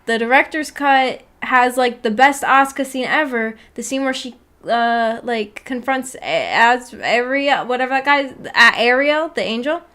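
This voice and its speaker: A woman with a fairly high voice chats casually as a livestream host. She is giggly and playfully teasing, quick to soften things with an apology. Her talk rambles and halts, sprinkled with "like" as she thinks aloud. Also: lively intonation. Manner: rambling, halting, filler-heavy talk with frequent "like". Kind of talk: casual livestream chat with viewers about anime.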